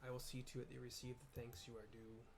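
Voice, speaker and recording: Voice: mid-range voice, monotone, quick pace, noisy background, natural voice. A man voicing a courteous archer commander in a fantasy game, with stately military formality. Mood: formal, grateful.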